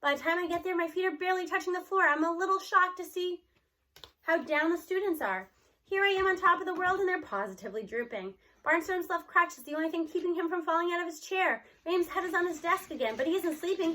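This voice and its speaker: A woman reading a children's novel aloud in an expressive storytelling style, as narrator. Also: extremely high pitch.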